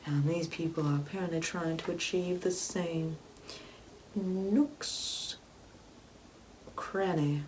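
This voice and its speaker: A woman playing a sanctimonious, Bible-quoting Karen mother in an ASMR comedy roleplay, condescending and preachy, often dropping into a breathy whisper. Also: lowish voice, fairly even intonation, slow pace, pauses often, darkish tone, slight background noise, rough recording. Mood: appalled, preachy.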